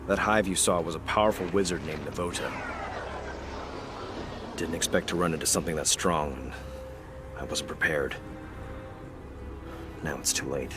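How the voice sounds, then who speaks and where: Deep, weary soldier. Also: male voice. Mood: resolute, weary. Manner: terse, world-weary, plain-spoken. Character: weary, gruff veteran soldier, a grieving male fire team leader. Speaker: mission-giving game character. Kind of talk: video game voice acting.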